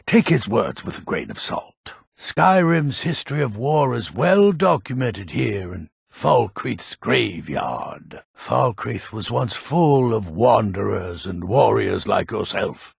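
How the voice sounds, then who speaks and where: A crotchety, distrustful old man from a fantasy video game. His voice is full of gravel and fry, with a worn, husky rasp. He grumbles warily and emphatically, and his pitch swings around in a very animated way. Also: slow pace, few pauses, dry recording, clean studio sound, heavily acted. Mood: bitter, wary. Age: older. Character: grumpy, suspicious old male villager in a fantasy game.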